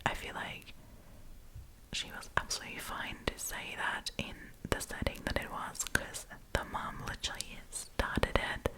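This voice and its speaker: A woman doing an ASMR storytime, chatting casually and informally with rambling asides. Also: low-quality recording.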